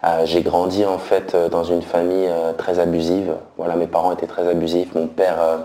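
Slow, hesitant deep-voiced man. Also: monotone, mellow, roomy sound. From France. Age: 30s. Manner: slow and hesitant, many fillers. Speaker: believer giving a testimony. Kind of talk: personal faith testimony.

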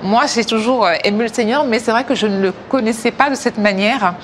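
A woman in her thirties from Africa, giving a personal faith testimony hesitantly, with many pauses. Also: a little high, slight background noise. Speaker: testimony speaker.

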